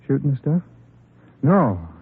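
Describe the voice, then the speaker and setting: An actor playing a nervous, evasive drug addict under questioning in an old radio police drama, stammering and repeating questions back. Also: male voice, mid-range voice, very animated, measured pace, breathy edge, full character voice. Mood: defensive, rattled. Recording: muffled old-time radio recording.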